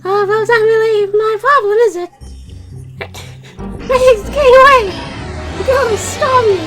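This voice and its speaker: A woman voicing a bossy, hot-tempered girl trainer in an improvised cartoon fandub, shrill and scolding. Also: a little slow, fairly bright tone, faint music behind. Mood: excited.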